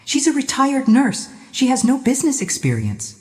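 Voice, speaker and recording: A story narrator voicing a shrill, spiteful, snobbish daughter-in-law who accuses and dismisses people. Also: wildly swooping pitch, moderate pace, a little mellow, long reverb tail. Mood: sputtering scorn. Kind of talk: audio drama-style story narration.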